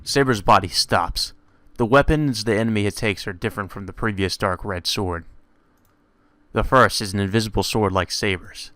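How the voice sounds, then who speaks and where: A man's voice: on the low side, normal speed, fairly bright tone, no echo. A man narrating a visual novel aloud in a dramatic read-aloud style, as a let's play host. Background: music.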